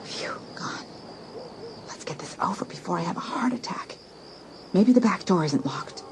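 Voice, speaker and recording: A woman's voice: fairly low pitch, animated, normal speed, background noise. A woman playing a scheming, competitive, jealous teenage girl in a dramatised audiobook, talking to herself in theatrical exclamations. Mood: nervous. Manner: theatrical, exclamatory self-talk. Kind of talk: dramatised audiobook acting, thinking aloud.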